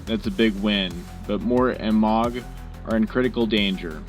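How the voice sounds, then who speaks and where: Guy playing earnest war news radio host, lowish voice, faint music behind. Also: moderate pace, natural voice. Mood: earnest, urgent. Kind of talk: scripted radio news broadcast.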